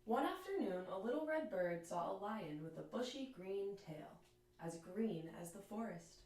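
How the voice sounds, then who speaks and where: A woman's voice: medium pitch, animated, normal speed, a little mellow. Woman reading a storybook in an echoey room. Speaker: teacher reading aloud to children. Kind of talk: children's storybook read-aloud. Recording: echoey room, distant microphone.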